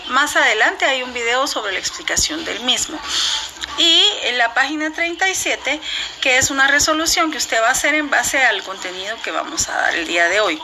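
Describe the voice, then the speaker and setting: A woman teacher, giving a recorded class lesson in a clear, instructive way and repeating key words slowly. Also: high voice, lively intonation, background noise.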